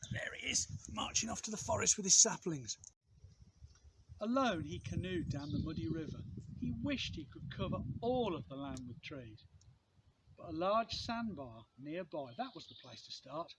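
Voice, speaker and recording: Very lively high-voiced man reading a story. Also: gravelly, slight background noise, low-quality recording. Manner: measured, dramatic phrasing with pauses for effect. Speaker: storybook reader, outdoor teacher. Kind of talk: expressive read-aloud of a children's picture book.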